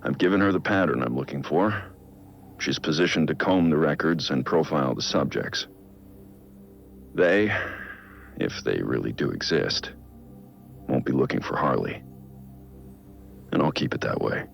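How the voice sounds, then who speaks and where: Brooding middle-aged American crimefighter for game audio logs; introspective, gravelly delivery, slightly muffled sound over soft music. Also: male voice, animated, measured pace, long pauses, exaggerated voice. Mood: measured, resolute. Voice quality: gravelly, low. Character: brooding middle-aged male masked vigilante dictating case logs. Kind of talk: scripted audio-log monologue.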